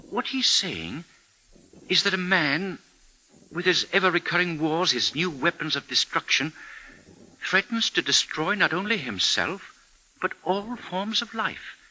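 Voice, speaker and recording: A man's voice: high-pitched, pushed and bright, exaggerated voice, low-quality recording. An actor playing an earnest, deferential male editor answering his superior in a 1950s radio drama.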